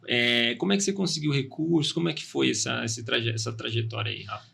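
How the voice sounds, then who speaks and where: Quick gravelly podcast host. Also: male voice, medium pitch, pushed and bright, slight background noise. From São Caetano, Brazil. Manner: upbeat, friendly questioning. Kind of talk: casual interview chat.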